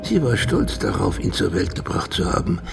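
Very gravelly, low, grave man. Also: very animated, moderate pace, exaggerated voice. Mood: grave, knowing. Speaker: actor in radio drama. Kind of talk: radio drama dialogue. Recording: music bed behind the voice.

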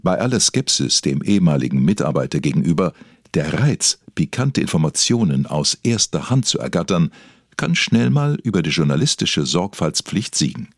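A man narrates a mock documentary in a deep, husky voice. His reading is formal and deadpan yet varied in melody, recorded close and dry. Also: moderate pace, no room sound.

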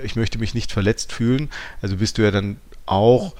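Man, fairly low pitch, podcast host, reflective discussion, halting word search. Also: a little mellow.

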